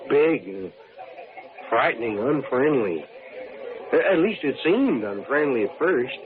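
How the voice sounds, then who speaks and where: A man's voice: medium pitch, big pitch swings, crowd noise. Naive young farm boy, tinny radio. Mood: shy, earnest. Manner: hesitant, halting, eager and polite. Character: naive, earnest 25-year-old farm boy new to the city. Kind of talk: 1950s radio drama acting. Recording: tinny, narrow-band old radio recording.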